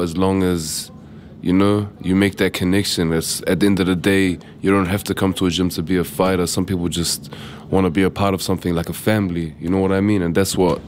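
A man, a fighter speaking in a relaxed, reflective way in an interview. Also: deep voice, slight background noise. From Iran. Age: 20s. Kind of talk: documentary interview.

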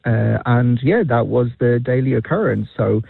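Polish-accented male caller, gravelly, dry close sound. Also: mid-range voice, moderate pace, no echo. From Poland. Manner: chatty, laughs often, many fillers. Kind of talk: casual radio chat. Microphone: phone. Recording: tinny phone line.

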